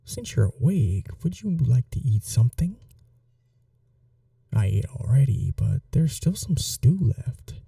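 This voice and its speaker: Man playing a dark-voiced, courteous vampire gentleman. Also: mid-range voice, very animated, unhurried, creaky, dry recording, far from the mic, exaggerated voice. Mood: caring, attentive. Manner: polite, soothing, softly formal. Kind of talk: intimate ASMR roleplay.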